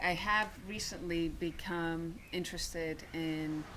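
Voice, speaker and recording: A woman from Venezuela describing a spiritual experience in a slow, searching way with vivid imagery. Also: fairly low pitch, fairly even intonation, noisy background. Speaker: retreat guest. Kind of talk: reflective testimonial.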